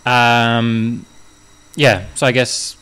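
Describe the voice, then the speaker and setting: A man's voice: medium pitch, extremely slow, smooth. Young guy from new zealand, laid back, lots of ums and likes, rambly musician chat. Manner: relaxed, rambling, many fillers and restarts. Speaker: guest musician. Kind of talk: casual interview chat.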